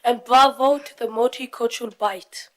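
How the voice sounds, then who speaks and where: A woman's voice: on the high side, steady tone, normal speed. A young woman from Fiji reading out her part of a prepared group presentation. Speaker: youth forum presenter.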